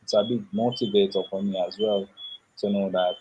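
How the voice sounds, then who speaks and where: A young man, answering interview questions in a thoughtful, measured way with pauses. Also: on the low side, fairly even intonation, darkish tone, a little hiss or hum, low-quality recording. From Jamaica. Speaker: interview guest.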